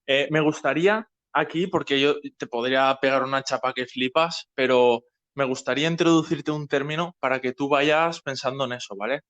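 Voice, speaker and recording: A man's voice: medium pitch, normal speed, a bit bright. A man with an accent from Spain, explaining things casually as a host and often checking that he is being followed. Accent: Spain Spanish. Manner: didactic, checking understanding with tags.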